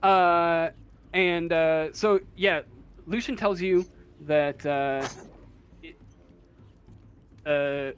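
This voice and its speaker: A man runs a tabletop game, narrating off the cuff. His voice sits a little high and he goes slowly, stopping to find words. Faint noise is in the background. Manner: halting, searching for words. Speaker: game master.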